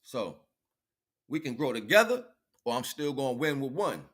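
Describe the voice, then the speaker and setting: A man's voice: medium pitch, very animated, normal speed, fairly bright tone, breathy edge. A man giving an improvised spiritual, motivational livestream talk in an emphatic, preachy way, repeating phrases for effect.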